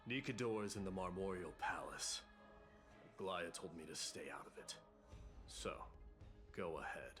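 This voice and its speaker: Low-voiced proud warrior prince. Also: male voice. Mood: curt, commanding. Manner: haughty, blunt, formal. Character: proud, gruff warrior prince, heir of a warlike kingdom. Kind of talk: dramatic video game voice acting. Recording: loud music underneath. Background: game music.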